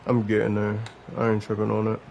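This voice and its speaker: A man's voice: on the low side, completely flat delivery, quick pace, vocal fry, darkish tone, highs cut off, a little hiss or hum. A man casually reacting to gameplay as a streamer, in short, laid-back remarks. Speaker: gaming streamer. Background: game sounds, bouncing basketball.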